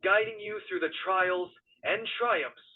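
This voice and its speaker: A synthetic man plays a reverent prophet telling his story with solemn formality. The voice sits high for a male and has a husky grain. It comes through narrow and tinny, like a phone speaker. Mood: earnest counsel. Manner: solemn, formal, measured phrasing. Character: Moses, reverent elder prophet recalling his people's deliverance. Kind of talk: dramatic first-person AI chatbot storytelling.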